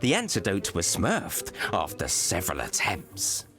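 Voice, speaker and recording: A man's voice: sits low, huge pitch swings, a little slow, full character voice. A man voicing a cheerful male Smurf poet, a small blue storyteller who narrates in rhyme with theatrical flourishes and wanders into bashful asides. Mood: storytelling. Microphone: studio voice-over. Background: gentle guitar music.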